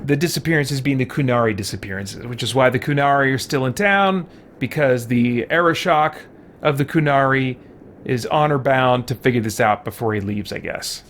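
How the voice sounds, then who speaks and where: A man's voice: medium pitch, normal speed, fairly bright tone, slight background noise. A man chatting casually as a game streamer, thinking aloud in an informal, rambling way. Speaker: streamer playing and commenting on a video game. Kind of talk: casual live game commentary chat. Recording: game music behind the voice. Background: video game music.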